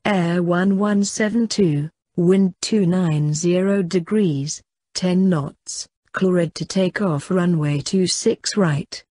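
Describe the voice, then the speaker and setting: A woman reading scripted air traffic control instructions in a measured, formulaic way. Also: lowish voice, dark tone, dry recording.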